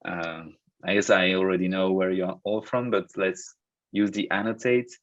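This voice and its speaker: Young Belgian man, French accent, deep voice, hesitant coach on a dull-sounding call. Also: level tone, normal speed. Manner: hesitant, many fillers and restarts. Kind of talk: hosting a video-call book club. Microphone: video call. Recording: dull lo-fi video call audio.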